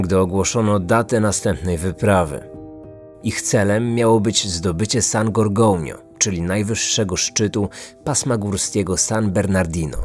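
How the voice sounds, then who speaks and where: A man's voice: fairly low pitch, darkish tone. A man hosting a podcast and reading scripted true-crime narration. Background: faint music.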